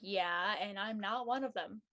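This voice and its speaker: A woman's voice: medium pitch, unhurried, sharp tone. A woman playing a practical, slightly wistful college-bound young woman in casual scripted dialogue.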